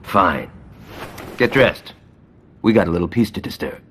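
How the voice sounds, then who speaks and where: An actor playing a brash, sarcastic, violent gang lieutenant in a video game cutscene, foul-mouthed and cocky. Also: male voice, low voice, expressive, measured pace, fairly bright tone, slight background noise, exaggerated voice. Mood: sarcastic, defiant.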